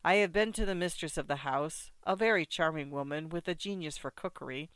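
Brief: reader voicing an old grenadier, theatrical and exclaiming, smooth delivery. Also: medium pitch, no echo. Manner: loud, exclaiming, full of oaths and toasts. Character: boisterous old French ex-grenadier, loud and theatrical. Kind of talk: audiobook character voice.

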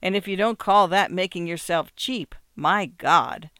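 A man's voice: high voice, measured pace, pushed and bright, clean tone, no room sound, studio quality. A man voicing a wealthy wife in a short story, mocking and theatrically gushing, with ironic, condescending exclamations. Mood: scornful. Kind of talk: acted literary short story.

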